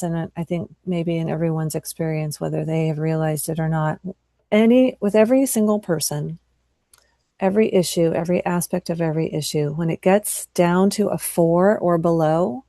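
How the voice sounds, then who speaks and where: A woman working as a tapping practitioner, calling out tapping points in short, soft cues and then explaining at length with self-corrections. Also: on the low side, dry recording, studio quality.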